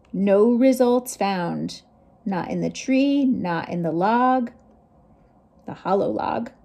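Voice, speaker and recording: A woman's voice: on the high side, warm tone, full character voice. A woman voicing a shy, lovestruck storybook robot in clipped, emphatic phrases. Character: shy, lovestruck, dramatic male robot from a picture book.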